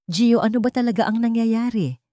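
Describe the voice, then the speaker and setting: A woman's voice: a little high, fairly quick, warm tone, no room sound, clean studio sound, pitched above the performer's own voice, close to an everyday voice. A woman voicing a suspicious, hurt young wife who questions insistently in an audio romance story. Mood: anxious. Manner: questioning, pleading, emotional.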